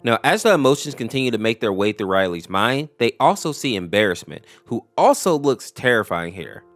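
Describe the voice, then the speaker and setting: A man's voice: mid-range voice, big pitch swings, brisk, a bit bright, no room sound. A man giving a casual explainer narration in a conversational, storytelling way. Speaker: YouTube host explaining animated horror lore. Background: background music.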